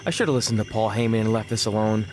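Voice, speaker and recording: An actor playing a sarcastic, reluctant wrestler hero in a video game, talking casually with uneasy wisecracks. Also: male voice, on the low side, very fast, darkish tone, a little hiss or hum, close to an everyday voice. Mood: wry, worried.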